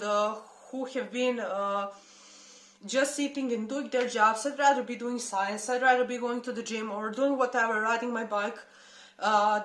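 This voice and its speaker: A woman with a Serbian accent speaks in a fairly high voice, passionate and outspoken. She pauses often to search for her words. The recording has a noticeable roomy echo. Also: fairly bright tone. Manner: impassioned, halting with many hesitations. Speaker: protest commentator. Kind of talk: unscripted personal statement.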